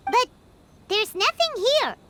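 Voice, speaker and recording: A woman voicing a tiny childlike fairy companion in a video game, chirpy and impatient, with a squeaky, expressive delivery. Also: takes it slowly, slight background noise, heavily acted. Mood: curious, surprised. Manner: exclamatory, expressive. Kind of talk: scripted video game dialogue. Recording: loud music behind the voice.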